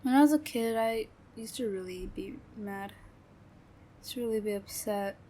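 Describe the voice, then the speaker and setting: A young woman voicing a sad best friend from a troubled home who hides her hurt, talking haltingly with nervous little laughs and trailing off. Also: mid-range voice, mellow, lightly acted. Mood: sad, resigned. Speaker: voice actor. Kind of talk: audio roleplay.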